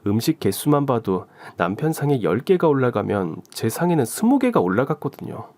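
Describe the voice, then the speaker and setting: A man's voice: mid-range voice, big pitch swings, a little fast, darkish tone, no echo. A man narrating a scripted first-person story for a radio-style story show.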